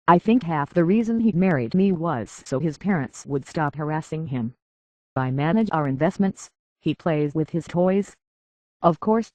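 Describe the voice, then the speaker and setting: A woman's voice: low-pitched, a little mellow, dry recording. A woman voicing a haughty, petulant rich heiress in a robot body for a video game, with pompous, scornful, theatrical diction through a metallic filter. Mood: wistful, peevish.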